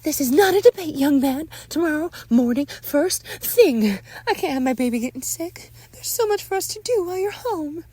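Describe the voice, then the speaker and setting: Woman playing an anxious, smothering mother, high-pitched. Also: lively intonation, normal speed, hardly any pauses, warm tone, no room sound. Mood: insistent. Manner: doting, insistent, emotionally intense. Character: anxious, smothering, sugary mother with controlling edge. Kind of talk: dramatic script reading.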